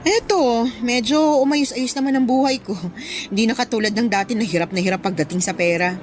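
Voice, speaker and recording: A woman playing a chatty, supportive older female friend in Filipino radio drama, breezy and expressive. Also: a little high, a little fast, close to an everyday voice. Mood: breezy, playful.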